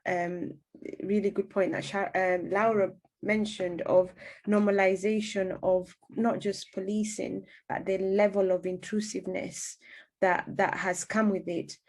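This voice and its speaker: A woman's voice: mid-range voice, a little mellow. A woman hosting a radio interview in a conversational way, often rephrasing her questions as she goes. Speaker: radio host.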